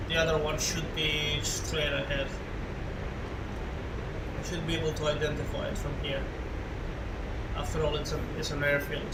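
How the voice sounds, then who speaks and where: A man whose first language is not English talks through a flight-sim game, musing aloud and often checking his own words. His voice sits a bit high for a man. He is recorded far from the mic in an echoey room, under a loud steady hum. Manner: thinking aloud, unsure of names, self-correcting. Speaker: flight sim gameplay commentator. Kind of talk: casual gameplay commentary.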